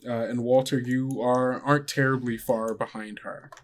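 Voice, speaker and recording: Casual, halting man hosting a podcast. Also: mid-range voice, steady tone, slight background noise. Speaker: podcast host and game master. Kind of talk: casual announcements and tabletop game narration.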